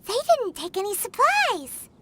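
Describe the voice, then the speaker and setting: A woman voicing a tiny, excitable, curious young fairy companion in a video game, with a squeaky childlike voice and a chirpy manner. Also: measured pace, noisy background, full character voice. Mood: curious, puzzled. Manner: chirpy, expressive, inquisitive. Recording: loud music behind the voice. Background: game music.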